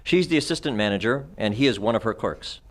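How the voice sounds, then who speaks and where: A man's voice: mid-range voice, animated, quick pace, natural voice. A man acting a formal, self-important doctor in an old-time radio mystery. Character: formal, self-important male doctor, husband of gallery owner. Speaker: amateur radio club performer.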